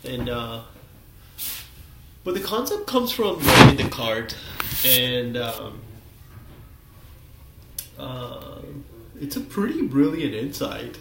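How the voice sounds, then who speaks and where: Slow, croaky man thinking aloud. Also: medium pitch, very animated, roomy sound, heavy background noise. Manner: halting, many fillers and restarts. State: croaky from a cold. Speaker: self-taught presenter. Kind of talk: rambling solo talk at a whiteboard. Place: small office room with a whiteboard. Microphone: webcam on a desk.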